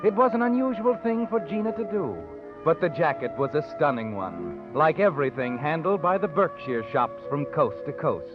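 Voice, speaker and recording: A man's voice: on the high side, big pitch swings, normal speed. An actor playing a knowing, taunting narrator in 1940s radio suspense style, needling a guilty woman. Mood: suspenseful. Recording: dull old radio recording, music behind.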